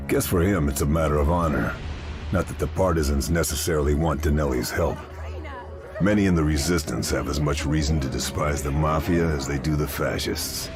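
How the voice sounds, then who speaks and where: An actor playing a terse, deadpan American military sniper in a video game. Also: male voice, very deep voice, moderate pace. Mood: grave briefing. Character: stoic, hard-nosed male military sniper and commando. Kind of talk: video game cutscene acting and briefing narration. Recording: dramatic music bed behind.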